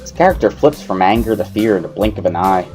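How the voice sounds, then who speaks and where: Lower-pitched man narrating a story. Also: expressive, quick pace, a little mellow, faint music behind. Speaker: narrator of a let's play dramatic reading. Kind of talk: dramatic first-person story narration.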